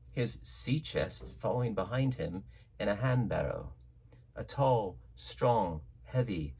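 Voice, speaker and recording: A man reading a classic story aloud as a narrator, with theatrical, measured phrasing and dramatic delivery. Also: fairly low pitch, breathy edge. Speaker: audiobook narrator.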